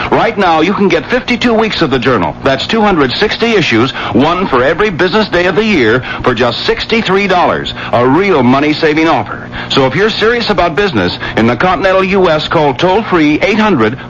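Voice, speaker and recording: A man's voice: on the high side, fairly bright tone. A man reading a scripted radio commercial as an announcer. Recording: dull old radio, faint noise.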